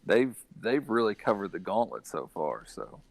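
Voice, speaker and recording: A man's voice: on the low side, sing-song, moderate pace, creaky, dry recording. A man in a casual podcast discussion about video games, talking in an explanatory manner. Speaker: podcast panelist.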